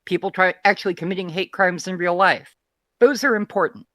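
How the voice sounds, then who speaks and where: A guest from Texas with a softened Texan accent, talking candidly and thoughtfully in a casual remote interview. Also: medium pitch, a little fast, no echo, clean studio sound. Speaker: guest, feed curator. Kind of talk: casual conversation.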